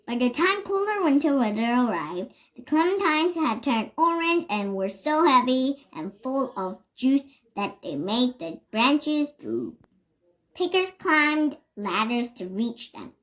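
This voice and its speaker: A child reads a storybook aloud in a high voice that swings up and down in pitch. The delivery is slow and careful, slightly halting, with words given deliberate emphasis. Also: big pitch swings, fairly bright tone. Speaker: reader. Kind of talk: reading a children's picture book aloud.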